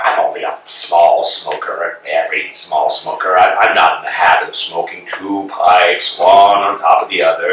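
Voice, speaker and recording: A man's voice: fairly low pitch, fairly bright tone, a little hiss or hum. A man playing a pompous bellowing landowner. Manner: grandiose, theatrical, swings between bluster and murmurs. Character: pompous self-important landowner, middle-aged man. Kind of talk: staged play reading. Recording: echoey room, mic far off.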